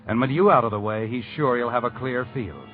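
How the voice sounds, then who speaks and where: A man's voice: medium pitch, fairly quick, close to an everyday voice. An actor playing a knowing, ominous male narrator in an old radio suspense drama, addressing the hero in an insinuating, dramatic way. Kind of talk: 1940s radio acting, second-person narration. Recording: muffled old radio broadcast recording. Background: dramatic music.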